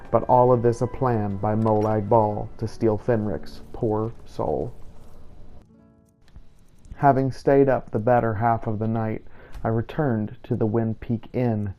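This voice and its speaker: A man's voice: lowish voice, level tone, unhurried, highs cut off, soft background music, lightly acted. A man narrating a game roleplay as a grim, devout vampire hunter, in a solemn and formal storytelling manner. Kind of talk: scripted in-character story narration.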